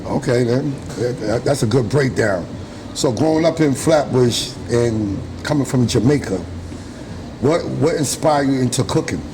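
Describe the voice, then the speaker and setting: A man with a medium-pitched voice hosts a casual local interview. He puts his questions informally, repeating words as he builds them. Clear ambient noise is audible around him. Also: a little slow. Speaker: interviewer, host of a local food show.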